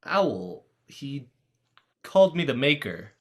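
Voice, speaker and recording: A woman's voice: rumbling low, big pitch swings, slow pace, heavily acted. A woman playing an exasperated, troubled teenage boy in a stage play reading, sarcastic and weary. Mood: subdued.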